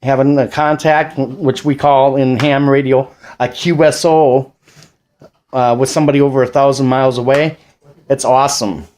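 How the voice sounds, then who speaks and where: A man's voice: mid-range voice, steady tone, moderate pace, darkish tone. A man of about forty with an American accent, explaining things in an informal, rambling way at home. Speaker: amateur radio operator and father. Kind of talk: casual explanatory chat to camera. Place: indoors at a home radio station.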